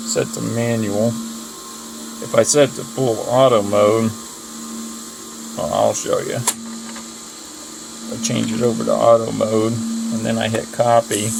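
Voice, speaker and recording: A man giving a casual, unscripted hands-on equipment demonstration in a plain-spoken, offhand way. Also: on the low side. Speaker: audio repair hobbyist demonstrating equipment. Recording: noisy recording with clear machine hum. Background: loud cooling fan and motor noise.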